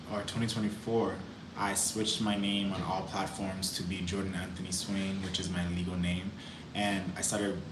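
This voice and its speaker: A man live streaming, reflecting on his music career and greeting viewers by name. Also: lowish voice. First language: English. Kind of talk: casual live stream chat. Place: indoors in Cali, Colombia. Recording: roomy with clear background noise.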